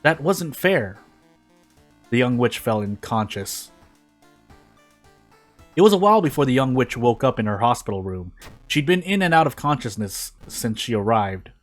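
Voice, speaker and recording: A man reads narrative prose aloud in an informal way, at an average male pitch. He sometimes trips on words and goes back over them, with music playing faintly behind him. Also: sing-song, normal speed, long pauses. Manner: stumbles over words and rereads phrases. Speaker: fan fiction reader on a gaming video. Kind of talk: informal read-aloud of a story.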